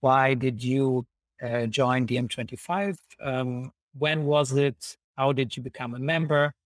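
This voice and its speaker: A young man hosting an online panel interview over a video call, speaking in hesitant, halting phrases. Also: medium pitch, steady tone, no room sound. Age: young adult. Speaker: host, volunteer coordinator. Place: indoor room, video call. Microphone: wired earphones on video call.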